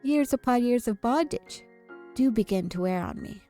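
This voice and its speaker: Woman reading a courteous, mellow-voiced gentleman director. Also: a little high, lively intonation, soft background music, close to an everyday voice. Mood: resigned, calm. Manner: formal, polite, measured. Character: courteous, gentlemanly amusement park director bound by magic. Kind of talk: visual novel character read-aloud.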